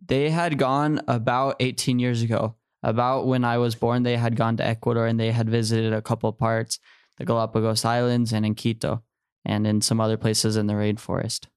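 A man in his early twenties speaks as an interview guest, recounting personal experiences. His voice is clear and smooth, with a narrow, even melody and a close, dry sound. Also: mid-range voice, moderate pace, no echo. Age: early 20s. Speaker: podcast guest, returned missionary.

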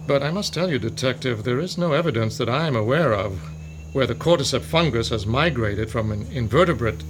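A man's voice: mid-range voice, noisy background, lightly acted. An actor playing a male professor who lectures patiently about fungi in an audio drama. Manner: lecturing, explanatory. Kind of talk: modern audio drama acting.

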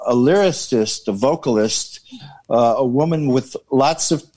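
A man's voice: mid-range voice, unhurried, darkish tone. A man in a band interview, talking casually and rambling, repeating words as he searches for what to say. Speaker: band member.

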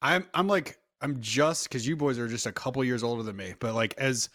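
A man in his thirties leads a loose podcast chat, animated and full of jokes. He slips into little acted-out quotes of his own thoughts. His voice sits at an ordinary pitch, recorded dry and close. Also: fairly quick, dry recording. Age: 30s. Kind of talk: casual chat.

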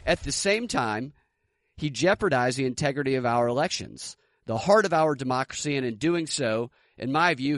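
A man's voice: mid-range voice, a bit bright, no echo. An American man co-hosting a podcast, talking through politics in a casual commentary style. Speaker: podcast co-host.

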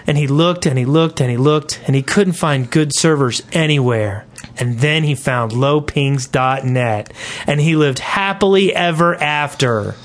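A man's voice: a little high, measured pace. A man co-hosting a casual gaming podcast, chatting informally. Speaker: podcast co-host. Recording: music playing behind the voice. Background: music.

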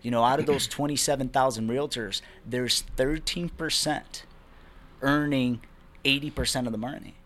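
A young man from Brazil, a real estate investor, talking as a guest in a relaxed podcast conversation, peppering his talk with "man" and "you know". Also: mid-range voice, normal speed. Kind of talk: casual interview talk.

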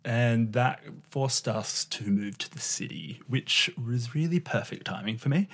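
A man's voice: medium pitch, lively intonation, a little slow, a bit bright. A young Australian man from Western Australia, a curator chatting as a radio studio guest, hesitant and full of ums and uhs, self-deprecating. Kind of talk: casual interview chat.

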